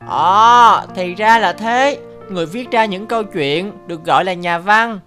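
A woman's voice: medium pitch, measured pace, fairly bright tone, lightly acted. A woman voicing a curious, eager little brother in a children's cartoon, full of questions. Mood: puzzled, curious. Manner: eager, inquisitive. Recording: music under the dialogue.

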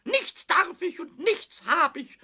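A man playing a tiny, childish, cheeky kobold in a children's radio play, squeaky and whiny, stammering and repeating words excitedly. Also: takes it slowly, full character voice. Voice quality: squeaky, shrill.

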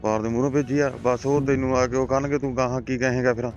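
A man's voice: mid-range voice, flat delivery, fast talker, darkish tone. A man with a Malwai Punjabi accent chatting casually on a recorded phone call. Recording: muffled lo-fi phone call, faint music.

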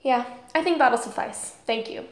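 A woman plays an earnest, curious schoolgirl in an audio drama. Her voice sits fairly high and is expressive, recorded in an echoey room. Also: moderate pace, natural voice. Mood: eager, chatty. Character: Holly, curious, eager teenage schoolgirl.

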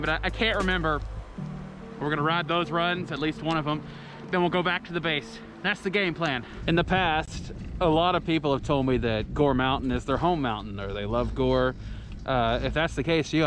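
A man in his thirties vlogging outdoors on a ski mountain, chatting casually into an action camera and whooping with excitement as he skis. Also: fairly high pitch. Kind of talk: casual vlog narration while skiing. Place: outdoors on a ski mountain, parking lot and chairlift. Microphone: action camera held or chest-mounted.

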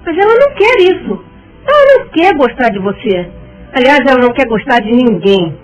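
A dull-sounding radio soap recording with faint music. An actress plays a worried, emotional woman, dramatic and pleading. Her voice is high and swings widely. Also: big pitch swings, brisk. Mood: troubled. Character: Marta, worried emotional woman, anguished friend. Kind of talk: radio soap opera acting.

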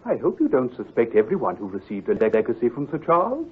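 A man's voice: medium pitch, huge pitch swings, fast, a little hiss or hum. A man playing an obliging, talkative country doctor in an old-time radio drama, speaking politely and formally. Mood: helpful, musing. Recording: tinny, muffled vintage radio recording.

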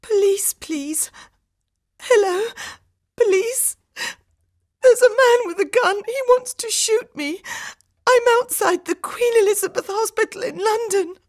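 A woman's voice: high-pitched, animated, takes it slowly. A woman playing a frightened, emotional young mother-to-be in an audiobook drama. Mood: panicked.

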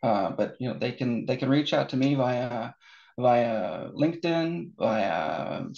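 A man with an American accent answering as a guest expert in a webinar interview, talking haltingly with many restarts and fillers. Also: medium pitch, expressive, vocal fry, a little mellow, highs cut off. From born in Seattle, raised in Norway.

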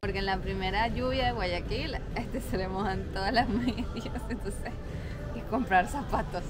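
A woman's voice: medium pitch, moderate pace, loud music behind the voice. A woman in her late twenties from Venezuela, speaking with a Venezuelan accent in a playful, teasing vlog chat to a handheld camera. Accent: Venezuelan Spanish. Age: late 20s. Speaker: vlogger. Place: shop aisles, then a small living room. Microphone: handheld camera at arm's length.

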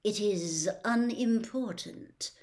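A woman voicing a cold, irritable male professor in a storybook reading, soft and hissing through clenched teeth. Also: mid-range voice, animated, takes it slowly. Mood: cold, dismissive. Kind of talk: audiobook character voice.

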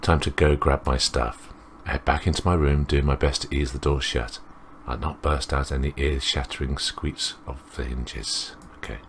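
A man's voice: rumbling low, fairly even intonation, moderate pace, few pauses, slight background noise. A man reading a visual novel aloud as a let's play host, rambling and interrupting himself with commentary.

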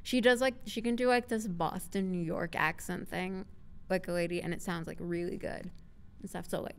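A woman's voice: on the low side, fairly even intonation, normal speed, gravelly, smooth. A woman voice actor reading scripted audio drama narration, with casual chat between takes.

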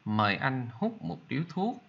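A man with a Vietnamese accent teaching English, reading each sentence in Vietnamese and then repeating it slowly in English for learners to copy. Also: mid-range voice, wildly swooping pitch, vocal fry, a bit bright, breathy edge, highs cut off. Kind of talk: slow bilingual drill, repeating each sentence.

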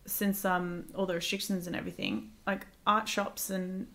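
A woman's voice: fairly low pitch, flat delivery, moderate pace, a bit bright. A woman, chatting casually in an interview and often hesitating as she searches for words. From Australia and Israel. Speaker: guest, visual artist. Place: living room in a home. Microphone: desktop microphone on table.

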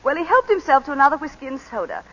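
Old radio drama: a clipped, refined planter's wife, bright and expressive, muffled broadcast sound. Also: female voice, high voice, racing. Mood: measured. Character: composed planter's wife recounting a killing. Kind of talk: 1940s radio acting.

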